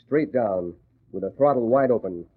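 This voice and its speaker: An actor playing a calm, authoritative army colonel who explains things in a measured way, in a 1940s radio drama. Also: male voice, mid-range voice, slightly rough. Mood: assured. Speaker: air force colonel. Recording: noisy lo-fi old radio broadcast.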